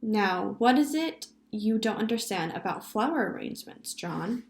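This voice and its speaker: A woman's voice: medium pitch, animated, moderate pace, lightly acted. Woman as indulgent mother, patient, cheerful, storybook reading, echoey room.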